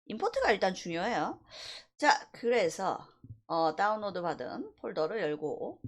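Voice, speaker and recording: Casting: female instructor, mid-pitched and animated, informal software walkthrough, explanatory delivery that leans on key points. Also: measured pace. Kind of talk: software tutorial lecture.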